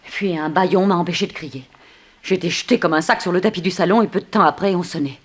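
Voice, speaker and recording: Refined captive, very fast, low-pitched. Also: female voice, slightly rough. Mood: dramatic, urgent. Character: earnest, refined captive woman pleading for trust. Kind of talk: 1960s French radio drama acting.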